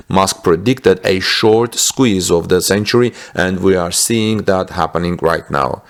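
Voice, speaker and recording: A man presenting business news, reading from a script with asides and sometimes halting over his words. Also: sits low. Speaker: host reporting business news.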